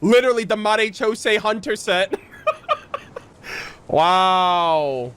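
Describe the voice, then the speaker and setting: A woman's voice: mid-range voice, expressive, slow pace, fairly bright tone, clear, resonant tone. A woman streamer giving slangy, gushing, exclamatory reaction commentary on a video game.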